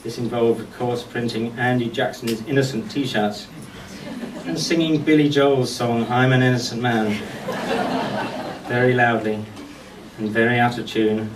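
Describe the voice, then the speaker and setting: A man's voice: medium pitch, fairly even intonation. Echoey, distant man giving a memorial tribute. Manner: storytelling in short phrases with deliberate pauses. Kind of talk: eulogy with humorous anecdotes. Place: golf club function venue at a memorial service. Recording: echoey distant mic with background noise.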